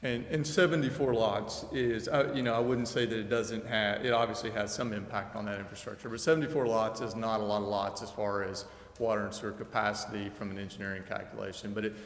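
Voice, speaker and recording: A man's voice: on the low side, fairly even intonation, quick pace. A man speaking off the cuff as a project engineer at a council meeting, explaining things in a folksy, roundabout way. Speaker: developer's engineer presenting a subdivision plat. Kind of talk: unscripted public presentation at a city council meeting. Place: indoor council chamber. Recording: echoey reverberant hall with background noise.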